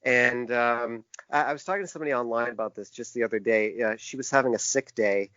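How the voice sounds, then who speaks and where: A man speaking as a podcast guest in casual conversation, halting and thoughtful, often restarting his sentences. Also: mid-range voice, a bit bright, no room sound, lo-fi sound. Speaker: podcast guest, author and publisher. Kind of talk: casual interview chat.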